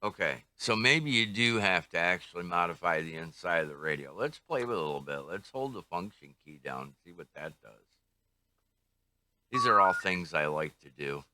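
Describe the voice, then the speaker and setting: An older man giving a casual hands-on product review, thinking aloud in an unhurried, tentative way. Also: sits low, animated, sharp tone, no echo. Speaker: radio reviewer.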